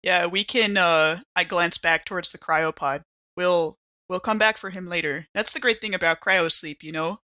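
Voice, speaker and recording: Female tabletop player, lowish pitch with a bright edge, dry sound, casual improvising delivery. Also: steady tone, moderate pace, no echo. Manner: chatty, thinking aloud. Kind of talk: casual, improvised game play.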